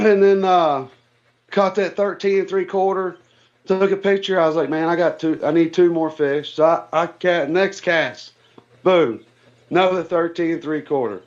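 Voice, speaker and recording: A man's voice: fairly high pitch, a little slow. A man on a call telling stories casually as a guest, in a plain-spoken, folksy way. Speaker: interview guest, tournament angler. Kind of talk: casual storytelling chat. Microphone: remote call. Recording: dull lo-fi call audio.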